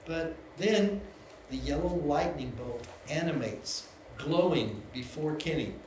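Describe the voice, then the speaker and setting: A man's voice: a little high, lively intonation, takes it slowly, a little mellow. A man reading scripted scene directions as the narrator of a live screenplay table read. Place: indoor venue, live reading. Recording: echoey room with background noise.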